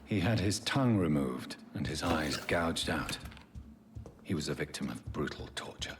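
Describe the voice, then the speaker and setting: A deep-voiced, grave doctor. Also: male voice, lively intonation, noisy background. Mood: grim, analytical. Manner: measured, analytical, formal. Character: grave, compassionate male doctor with a dead heart. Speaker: video game voice actor. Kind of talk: dramatic video game acting. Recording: orchestral cello music behind.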